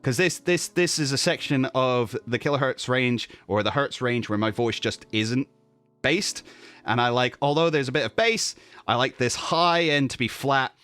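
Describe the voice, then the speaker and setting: A man's voice: mid-range voice, moderate pace, a bit bright, faint music behind. A man in a casual tutorial, explaining his streaming audio setup in a chatty way, often checking in with "okay?" and correcting himself. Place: home streaming desk. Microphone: studio mic with noise gate and compressor.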